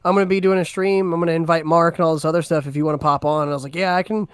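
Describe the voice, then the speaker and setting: Enthusiastic guest DJ with a crisp, dry voice. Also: male voice, fairly high pitch, steady tone, dry recording. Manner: enthusiastic, laughs while telling stories, fast bursts. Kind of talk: casual chat on a voice call.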